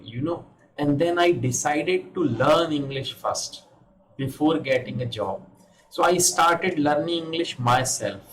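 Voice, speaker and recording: A man's voice: medium pitch, fairly bright tone. A man in his fifties from India with an Indian accent, giving a patient one-on-one English lesson over a video call. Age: 50s. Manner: patient, repeats and checks understanding. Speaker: English teacher. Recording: echoey, noisy video call.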